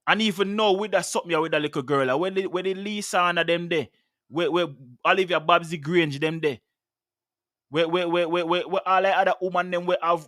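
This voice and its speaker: A man's voice: on the high side, a bit bright, no echo. A man in his thirties with a Jamaican accent, hosting a heated talk show discussion, passionate and often repeating words as he speaks into a studio mic. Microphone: studio mic with headphones.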